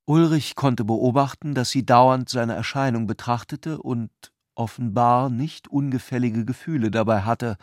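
A man delivers scripted literary narration for a radio play. His voice is mid-pitched, and he phrases things in a measured, reflective way. The recording is dry, with no room around the voice. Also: a little mellow, dry recording.

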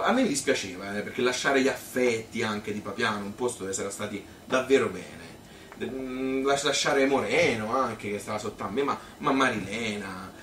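A man playing a nostalgic Tuscan father who recalls his childhood in a halting, colloquial stage monologue. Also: fairly low pitch, close to an everyday voice. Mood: wistful, animated. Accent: Tuscan Italian. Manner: halting, stuttering over words, colloquial, animated. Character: nostalgic Tuscan father born 1951, rambling childhood memories. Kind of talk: spoken theatre monologue, folksy reminiscence. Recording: roomy theatre, distant mic, faint noise.

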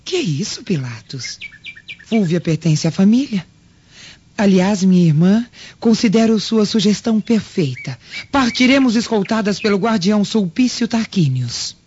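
Gentle, mellow Roman wife, expressive intonation. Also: female voice, medium pitch, normal speed, slightly rough. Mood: soothing. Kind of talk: dramatic radio drama acting. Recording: muffled lo-fi recording with faint noise.

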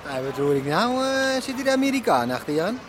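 A bantering gangster sidekick who talks fast in a slightly high voice. Faint noise lies behind him in this radio play. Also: male voice, sing-song, a bit bright. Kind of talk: radio drama acting.

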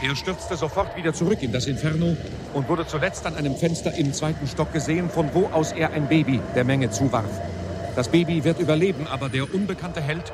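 A man's voice: fairly high pitch, a little fast, natural voice. An actor playing a male street reporter, giving a formal narrative news report in a radio drama. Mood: grave. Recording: loud outdoor city traffic noise.

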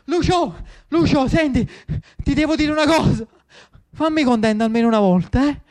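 Male actor as anguished Neapolitan man, high-pitched pleading, imploring repetitions, dry radio drama sound. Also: normal speed, darkish tone, no room sound. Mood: urgent pleading. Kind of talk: theatrical radio monologue acting.

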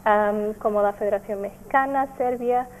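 A woman from Portugal with a Portuguese accent, a native Portuguese speaker, giving careful, halting interview answers as an NGO representative. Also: mid-range voice, steady tone, a little mellow, slight background noise, low-quality recording. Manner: halting, careful, searching for words. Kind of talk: explanatory radio interview answers.

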